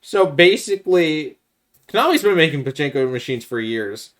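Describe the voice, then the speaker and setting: A man's voice: a little high, lively intonation, normal speed, fairly bright tone. Casual, opinionated man podcasting. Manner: informal, opinionated. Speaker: podcast co-host. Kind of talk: casual chat about video games.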